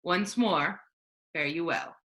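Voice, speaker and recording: A woman playing a grave, courteous male ruler in a staged Shakespeare reading, formal and measured in phrasing. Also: on the low side, pushed and bright. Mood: brisk, courteous. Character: grave, courteous male ruler handing over his power.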